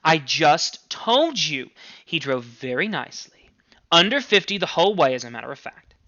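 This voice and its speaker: A man's voice: on the high side, sing-song, moderate pace, bright, forceful tone, no room sound, dull audio, full character voice. A man voicing a cool young married daughter in an audiobook story, languid and clipped, patient but irritated. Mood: exasperated. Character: cool, exasperated young married daughter, placating her mother.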